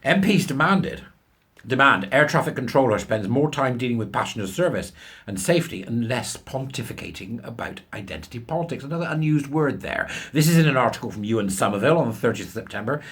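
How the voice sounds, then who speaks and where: A British man in middle age with a husky voice that swings widely in pitch. He delivers opinionated commentary in a colloquial, sarcastic way, sounding incredulous as he rants. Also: lively intonation, fast talker, a bit bright. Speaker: commentary show host. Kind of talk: ranting commentary on a news article.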